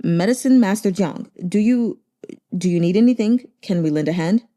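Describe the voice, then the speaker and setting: A woman's voice: medium pitch, moderate pace, warm tone, lightly acted. A woman voicing an anxious male elder in an audiobook story, hesitant and eager to serve. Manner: hesitant, obsequious.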